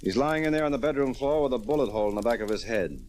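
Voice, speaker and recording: A man playing a hard-boiled, wisecracking private detective in a 1940s radio drama, brisk, sardonic and cynical. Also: mid-range voice, animated, darkish tone, natural voice. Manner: brisk, sardonic, tough-talking. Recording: noisy old radio recording.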